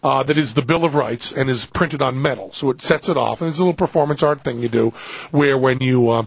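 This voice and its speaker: A man with a Western Massachusetts accent hosting a radio show, telling stories in lively, animated bursts. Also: medium pitch, brisk, gravelly, husky, no echo. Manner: animated storyteller, acts out quotes. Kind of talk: casual radio chat. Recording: dull radio audio.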